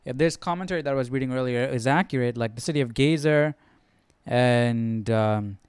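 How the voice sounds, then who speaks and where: Rambling man thinking aloud, dry and clear. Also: medium pitch, moderate pace, darkish tone, no room sound. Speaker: Bible study livestream host. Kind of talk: casual musing commentary.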